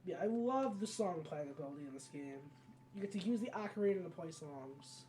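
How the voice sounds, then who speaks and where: Mellow, rambling gameplay commentator. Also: medium pitch, lively intonation, fairly quick, slight background noise, poor audio. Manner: rambling, conversational. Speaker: let's play host.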